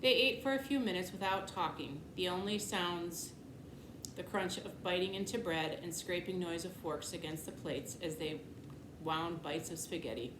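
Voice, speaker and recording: A woman's voice: lowish voice, normal speed. Echoey woman reading a children's storybook. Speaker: teacher reading aloud. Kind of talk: expressive children's storybook narration. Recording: echoey room, clear background noise.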